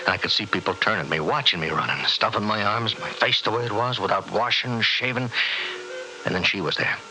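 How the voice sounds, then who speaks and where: An actor playing a frantic, guilt-ridden American newlywed schemer in an old radio horror drama, muttering obsessively and repeating himself. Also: male voice, lowish voice, brisk, bright, forceful tone, poor audio. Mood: bitter, agitated. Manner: obsessive, repetitive, agitated muttering and confessing.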